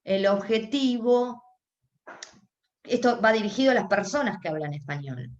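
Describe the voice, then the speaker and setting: A woman with an Argentine accent voicing a deaf panelist's signing into speech at a panel discussion. She talks haltingly, with many restarts and pauses. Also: medium pitch, lively intonation, roomy sound, muffled recording. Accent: Argentine Spanish. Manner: halting, with frequent restarts and pauses while interpreting. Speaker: sign language interpreter voicing a deaf panelist. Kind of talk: live voice interpretation at a panel discussion.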